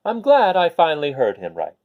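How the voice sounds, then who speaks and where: A man's voice: a little high, huge pitch swings, fairly quick, phone-quality sound. A man voicing an oblivious young fairy-tale princess, blithely calling down from above. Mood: hopeful.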